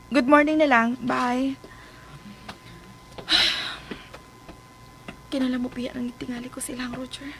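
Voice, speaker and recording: A woman playing a timid, anxious young woman in a radio drama, with halting, stammering, sighing speech. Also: sits high, fairly bright tone. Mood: gentle, subdued.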